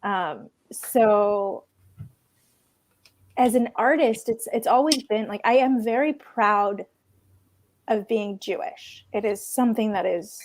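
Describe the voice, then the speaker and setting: Israeli-born woman panelist, Hebrew first language, halting and reflective. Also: medium pitch. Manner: hesitant, circling restarts. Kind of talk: casual panel discussion.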